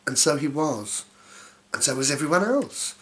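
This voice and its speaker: A woman's voice: sits low, lively intonation, normal speed. A woman reading a children's story aloud in a silly, theatrical way, dropping in playful asides.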